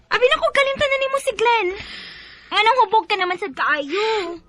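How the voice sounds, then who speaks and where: Radio drama sidekick: sassy Cebuana friend, very high, bright voice, bossy yet caring. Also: female voice, expressive, moderate pace. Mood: sassy, consoling. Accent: Cebuano Filipino.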